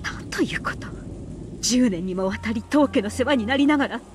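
A woman's voice: fairly high pitch, very fast, close to an everyday voice. An actress voicing a proud, stern, indignant lady in a Japanese visual novel, with formal, haughty phrasing. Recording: background music under dialogue.

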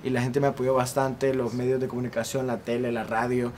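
A young man in his twenties with a Salvadoran accent, a singer telling his story at length in a relaxed interview on an outdoor patio. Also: mid-range voice, fairly quick, a little hiss or hum. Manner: earnest, talks at length with ease. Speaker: singer and guest. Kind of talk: casual interview.

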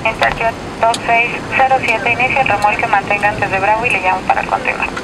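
High, creaky male ground controller on radio. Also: level tone, fairly quick. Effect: aviation radio. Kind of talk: clipped radio instructions. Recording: noisy aircraft radio in cockpit.

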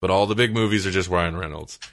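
A man's voice: deep voice, quick pace, fairly bright tone. A man podcast co-host chatting casually about movies and comics.

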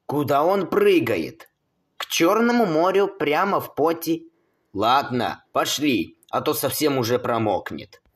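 A man's voice: a little high, sing-song, unhurried. A man doing amateur cartoon voice acting, with theatrical exclamations.

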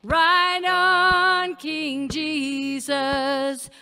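A woman's voice: high-pitched, bright, forceful tone. A woman leading a spiritual in song on a church stage. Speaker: song leader. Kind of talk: spiritual singing with acoustic guitar. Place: church hall stage before seated audience. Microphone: stand microphone. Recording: live reverberant hall.

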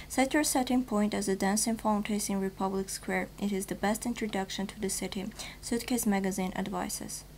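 A young female news anchor reads the bulletin at an even, mid-height pitch. Her delivery is formal and steady, with slightly non-native phrasing. A faint hiss sits under the studio mic. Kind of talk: scripted news reading. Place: TV news studio, virtual set.

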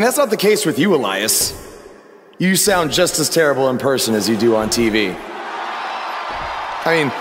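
An actor playing a cocky, sarcastic, defiant young wrestling newcomer in a video game. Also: male voice, a little high, a bit bright. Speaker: rookie wrestler. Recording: roomy echo, faint noise.

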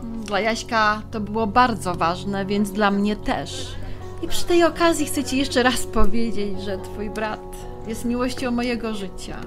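An actress playing a happy, loving middle-aged bride in an audio drama, speaking in a heartfelt manner. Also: female voice, mid-range voice, over music, natural voice. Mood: grateful. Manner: unhurried, heartfelt.

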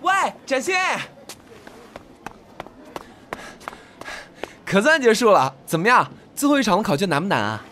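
Guy doing a fussy excitable young pal in a radio drama, voice jumps around a lot. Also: high voice, fast, a little hiss or hum, full character voice. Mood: friendly. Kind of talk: Chinese audio drama acting.

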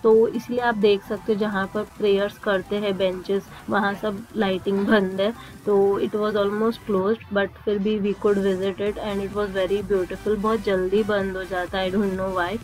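A woman's voice: mid-range voice, faint music behind, rough recording. A young woman narrating a travel vlog in a voice-over, mixing Hindi and English. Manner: slow, even Hindi-English mix. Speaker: travel vlogger.

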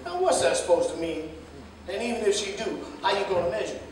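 An actor playing an opinionated, bantering cab driver in a theatrical staged reading. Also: male voice, sits high, fast. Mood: insistent banter. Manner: animated, insistent, bantering. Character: opinionated, argumentative jitney driver man. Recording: echoey noisy hall, distant microphone.

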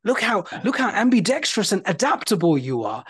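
A man's voice: sits high, brisk, slightly rough. A man in his forties with a British accent, hosting an interview show and talking animatedly from home over a video call. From United Kingdom. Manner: animated, gestures with his hands. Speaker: host. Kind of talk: interview conversation. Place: bright home room.

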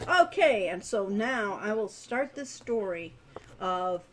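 An American woman in her sixties chatting casually on a livestream from home, halting and rambling as she thinks aloud. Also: medium pitch, lively intonation, fairly bright tone. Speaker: game designer and co-writer, livestream host. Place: home room. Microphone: webcam-style setup, no headset, at desk distance.

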